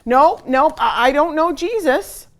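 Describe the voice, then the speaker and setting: A woman's voice: sits high, expressive, unhurried, fairly bright tone, voice pushed up, heavily acted. A woman voicing a brash, impulsive fisherman from a children's storybook, making emphatic, flustered protests. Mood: panicked denial.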